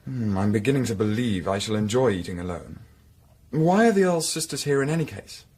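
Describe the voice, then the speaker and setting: An actor playing a dry, inquisitive gentleman with a wry, probing manner, in period radio drama. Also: on the low side, expressive, brisk, breathy edge. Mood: sardonic.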